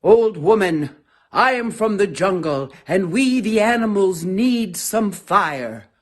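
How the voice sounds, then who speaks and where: A woman voicing a brave, earnest male antelope who makes loud, solemn pledges. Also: fairly low pitch, very animated, takes it slowly, full character voice.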